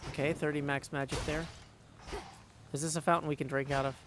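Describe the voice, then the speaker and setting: A man's voice: a little high, level tone, a little fast, background noise. A man giving casual live gameplay commentary as a streamer, chatty and thinking aloud, sometimes singing little tunes to himself. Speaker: video game streamer. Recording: game music behind the voice. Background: video game music.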